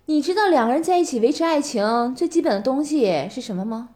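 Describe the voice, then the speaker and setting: Woman performing a scornful young ex-girlfriend, high voice, sneering, mocking, lively swings in pitch, audio roleplay. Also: quick pace. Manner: sneering, mocking, profane, keeps taunting with "啊？". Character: scornful young woman berating her ex-boyfriend. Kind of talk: one-voice audio roleplay addressing the listener.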